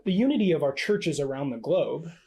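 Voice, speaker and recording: Man, evangelist, sermon in short paced phrases, fairly high voice. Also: lively intonation, dark tone. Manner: short measured phrases, pausing for translation. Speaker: evangelist preacher. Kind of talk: sermon delivered line by line for an interpreter.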